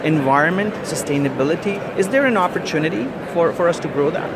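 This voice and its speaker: A man hosting an interview, building long questions haltingly and repeating words as he goes. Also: a little high, expressive. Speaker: podcast host and interviewer. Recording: very noisy location, urban background noise.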